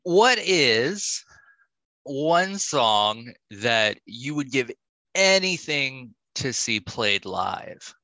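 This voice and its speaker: A man hosting a podcast interview casually, setting up his questions in a deliberate way. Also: medium pitch, very animated, sharp tone, dry recording, poor audio. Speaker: podcast host.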